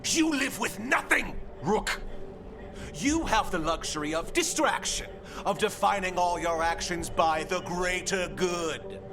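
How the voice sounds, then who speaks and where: A bitter, self-righteous governor in a fantasy game. The voice sits fairly high for a man and moves slowly, with theatrical sarcasm and menace. Music plays clearly behind it. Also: full character voice. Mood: defiant, bitter. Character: scheming, bitter traitorous city governor, self-righteous villain. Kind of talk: dramatic video game voice acting.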